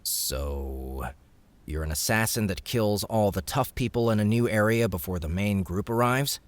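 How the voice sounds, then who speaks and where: A male narrator voicing a scheming young man who plays a game villain, speaking in a calm, low, calculating way. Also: measured pace. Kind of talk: audiobook character voice.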